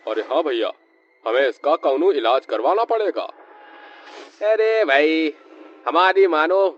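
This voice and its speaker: A man's voice: a little high, animated, slow. A man playing a rustic villager who speaks in country dialect in a cartoon horror story. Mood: scheming. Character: rustic male villager, scolding or conspiratorial. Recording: muffled lo-fi audio with music behind.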